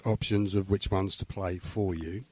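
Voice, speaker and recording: A man's voice: low-pitched, steady tone, unhurried, darkish tone, slight background noise. A man co-hosting a podcast, putting questions to a guest in a casual style. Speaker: podcast co-host.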